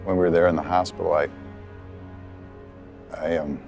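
A deep, gravelly-voiced widower, speaks in a soft, halting way, stopping often as he searches for words. He is outdoors on a city rooftop, recorded for a film with music playing underneath. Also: flat delivery. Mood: grieving. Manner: hesitant, halting, soft-spoken with many pauses. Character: grieving middle-aged widower, gentle and soft-spoken. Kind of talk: film drama scene with outtakes.

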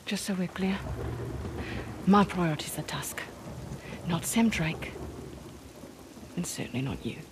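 A woman's voice: fairly low pitch, animated, a little slow, pauses often, background noise. Curt, distrustful woman fighter. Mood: cold, terse. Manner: curt, accusatory, dry. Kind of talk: cinematic action-adventure game acting. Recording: faint rain and ambience behind. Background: faint rain, game ambience.

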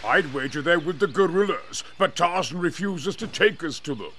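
A man's voice: fairly high pitch, lively intonation, moderate pace, a bit bright, slight background noise. A man playing a scheming, pompous British jungle hunter in a video game, theatrical and smug. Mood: impatient, haughty. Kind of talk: video game cutscene acting.

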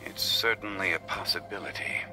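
Man voicing a deep-voiced, composed gentleman scholar. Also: music in the background, close to an everyday voice. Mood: measured. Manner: formal, deliberate, analytical. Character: composed, learned gentleman consultant, calm and measured. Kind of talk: video game voice acting.

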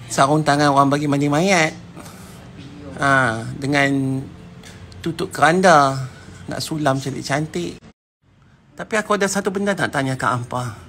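A man's voice: medium pitch, a bit bright. A man, chatting casually through a home cooking vlog and rambling off to the viewers. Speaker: home cook vlogger. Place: home kitchen. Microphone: phone camera held while cooking. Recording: noisy home phone recording.

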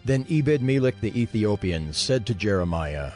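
A man's voice: lowish voice, expressive, normal speed, darkish tone, soft background music. A man narrating scripture as part of a dramatized audio reading.

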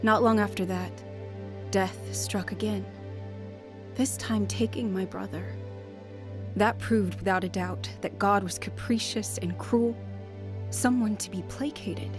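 A woman's voice: mid-range voice, fairly even intonation, unhurried, long pauses, over music, close to an everyday voice. An actress playing a reflective woman narrating her own sorrowful story in a radio drama.

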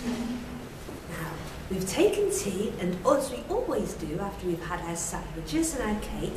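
A woman in her thirties telling a rhymed children's story in a prim, deliberate 1940s television presenter manner. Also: mid-range voice, very animated. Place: small bare brick-walled room indoors. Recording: echoey room, distant mic, noisy.

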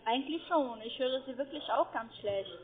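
A woman's voice: high-pitched, normal speed. A woman working as a call-centre agent, coaxing and chatty on a phone sales call. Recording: tinny, narrow-band phone line.

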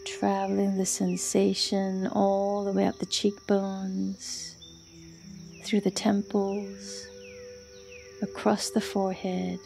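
A woman leading a guided yoga nidra meditation as an instructor, speaking softly and soothingly in short phrases with long pauses. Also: mid-range voice, monotone, vocal fry, soft background music.

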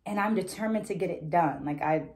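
A middle-aged woman talking to camera about a book in a deliberate, articulate, explanatory manner. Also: fairly low pitch, darkish tone. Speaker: educator and book reviewer. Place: small indoor room at home. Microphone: phone camera a short distance away.

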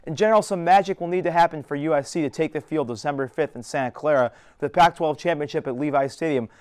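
A man's voice: a little high, fast, a little mellow, clean tone, dry recording. A young man delivering a scripted sports analysis segment in a studio. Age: young adult. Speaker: sports reporter.

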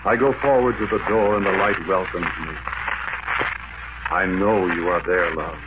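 An actor playing a dreamy man searching all his life for his beloved, in hushed 1940s radio drama style. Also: sits low, steady tone, lightly acted. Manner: hushed, intimate, deliberate. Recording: muffled old radio recording with loud hiss.

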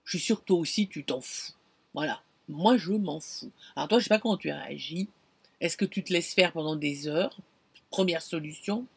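A middle-aged woman vlogging from inside a camper van, telling an anecdote in an animated, expressive way and talking straight to the viewer. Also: low voice, moderate pace, gravelly, slightly rough, no echo. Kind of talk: casual storytelling to camera. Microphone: camera close in front.

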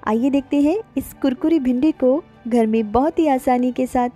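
A woman's voice: high-pitched, moderate pace, warm tone, faint music behind. A woman hosting her cooking channel, explaining a recipe step by step in a friendly instructional way. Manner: explanatory, guides viewer step by step. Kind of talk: cooking tutorial narration. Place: home kitchen.

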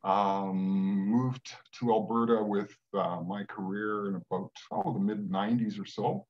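A man in his sixties from Western Canada, speaking in a measured way with many fillers on a video call from home. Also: fairly low pitch. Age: 60s. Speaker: guest. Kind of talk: casual video call conversation. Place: home room. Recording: dull lo-fi webcam audio.

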